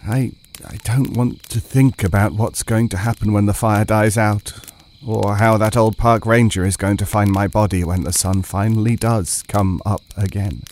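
A low male voice an actor performing a weary, frightened camper in an audio horror tale. He confesses haltingly and broodingly, as if worn down by dread. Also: fairly even intonation, darkish tone, a little hiss or hum, lightly acted. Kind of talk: first-person dramatic audio horror storytelling.

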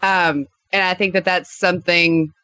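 A woman's voice: on the low side, fairly even intonation, measured pace, pushed and bright, no room sound, lo-fi sound. A woman speaking casually as a podcast guest in a conversational interview.

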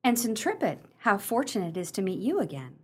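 Woman playing an arch, genteel lady, mid-pitched. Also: big pitch swings, brisk, breathy edge. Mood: formal, arch. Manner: formal, arch. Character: sceptical, genteel lady, loyal sister with arch wit. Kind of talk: radio play acting.

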